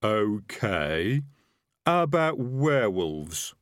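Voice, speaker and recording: Man playing a chatty, plodding highway robber. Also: very animated, drawn-out words, fairly bright tone, no room sound, clean studio sound, full character voice. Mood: methodical, wary. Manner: plodding, chatty, matter-of-fact. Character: chatty, methodical, cautious male highway robber.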